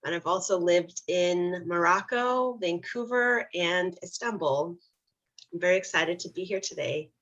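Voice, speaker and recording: A woman from Canada, a guest speaker on a video call, introducing herself cheerfully and clearly. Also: fairly low pitch, unhurried, a bit bright. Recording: slightly dull, muffled line.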